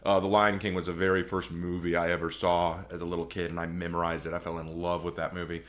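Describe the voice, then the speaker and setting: Male reaction host, slightly low voice, opinionated, loose and rambling casual commentary. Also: completely flat delivery, quick pace, fairly bright tone.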